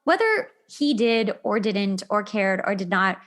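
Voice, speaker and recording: A woman's voice: medium pitch, sing-song, unhurried, fairly bright tone. Chatty woman hosting a talk show. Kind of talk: casual relationship chat.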